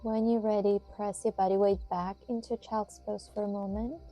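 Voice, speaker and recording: Very mellow, soft-toned woman guiding yoga. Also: medium pitch, flat delivery. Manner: soothing, measured instruction with pauses. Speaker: yoga instructor and host. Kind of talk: guided yoga and meditation instruction. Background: music.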